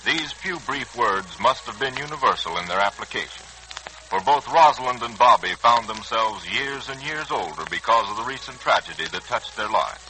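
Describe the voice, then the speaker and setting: A man's voice: fairly low pitch, expressive, normal speed, sharp tone, slight background noise, rough recording. A man narrating a 1940s-style radio serial in a solemn, formal manner. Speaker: announcer-narrator. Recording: old radio recording. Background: music.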